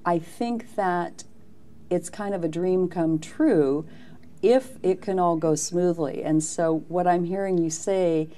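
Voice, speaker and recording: A woman's voice: on the low side, takes it slowly, warm tone. A woman hosting a local TV interview, chatty and encouraging, often affirming her guest. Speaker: TV interview host.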